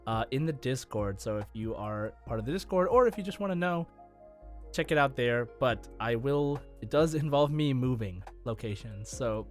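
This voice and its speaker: A man hosting a podcast in a casual, conversational style, explaining things as he goes. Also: medium pitch. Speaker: podcast host.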